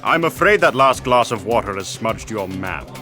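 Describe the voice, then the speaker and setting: A man's voice: on the low side, lively intonation, normal speed, pushed and bright, faint music behind. An actor playing a droll, poetic male archaeologist in audio theatre, speaking in a formal, dryly teasing way.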